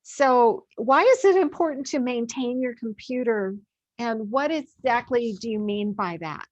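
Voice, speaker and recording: Woman host, conversational interviewer. Fairly high voice, dry but slightly dull recording. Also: lively intonation, measured pace, no echo. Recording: dull, lo-fi audio.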